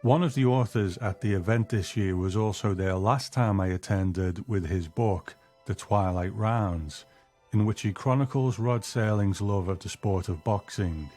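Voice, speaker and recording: A man's voice: fairly low pitch, measured pace, mellow. A man hosting a show, reading scripted narration to introduce a segment. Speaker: podcast host, narrator. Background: music.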